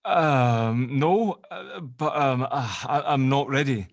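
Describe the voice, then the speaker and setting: A man's voice: mid-range voice, slow pace, creaky, dry recording. A man acting a nervous, guilt-stricken man, close and dry. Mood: flustered, panicky. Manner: stammering, hesitant, sweary. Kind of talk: dramatic script read-through.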